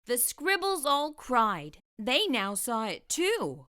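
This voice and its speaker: A woman's voice: fairly high pitch, huge pitch swings, slow pace, pushed and bright, clean tone. A woman narrating a children's storybook expressively, enunciating for young listeners as a storytime host.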